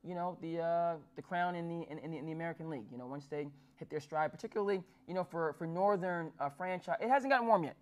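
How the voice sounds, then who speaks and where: A man's voice: on the high side, fast, hardly any pauses. A man in his thirties from New Jersey hosting a sports talk show, using formal phrasing with frequent fillers and restarts. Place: small studio with dark backdrop.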